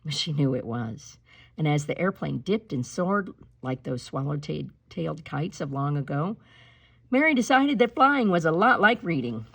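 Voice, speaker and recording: A woman reading a children's picture book aloud in an expressive, emphatic storytelling style, slowing on key words. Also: deep voice, vocal fry, husky. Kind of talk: expressive read-aloud storytelling with spoken asides.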